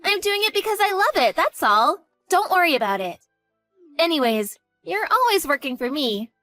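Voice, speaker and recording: A woman's voice: very high voice, very animated, moderate pace, bright, forceful tone, no echo, faint music behind, heavily acted. A woman voicing a devoted college-age daughter who talks earnestly and grows panicked in a dramatized story.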